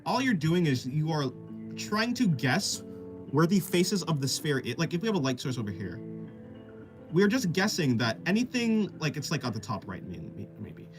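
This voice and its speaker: Man explaining art over music, lively pitch. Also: normal speed. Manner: rambling, restarts explanations often. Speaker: artist streamer. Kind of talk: casual live-stream chat while drawing.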